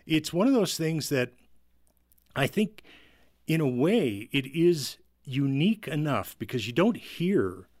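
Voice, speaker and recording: A man's voice: on the high side, very animated, slow pace, darkish tone. A man chatting casually and enthusiastically as a panelist, explaining things as he goes. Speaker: podcast panelist.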